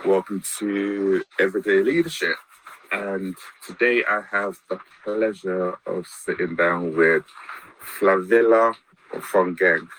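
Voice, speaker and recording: A man's voice: lowish voice, fairly bright tone, poor audio. A man, hosting a podcast and interviewing a guest with enthusiastic praise and plenty of fillers. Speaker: podcast host.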